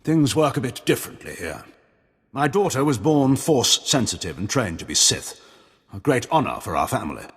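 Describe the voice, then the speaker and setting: An actor playing a haughty middle-aged Imperial admiral in a video game, formal, measured and condescending. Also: male voice, mid-range voice, big pitch swings, a bit bright, husky, big-room sound, heavily acted.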